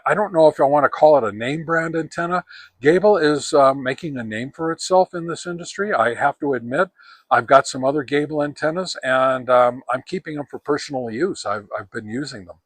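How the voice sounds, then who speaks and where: An older man of about seventy gives a casual product review to camera, speaking haltingly and often correcting himself. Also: mid-range voice, fairly bright tone, no room sound. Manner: halting, hesitant, self-correcting delivery. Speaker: ham radio gear reviewer. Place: outdoors on a wooden deck among trees.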